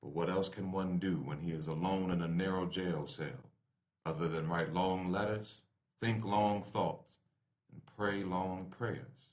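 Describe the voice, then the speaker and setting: A man giving a solemn, measured, oratorical reading of a letter, with deliberate pauses. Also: low-pitched, steady tone, darkish tone. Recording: echoey room.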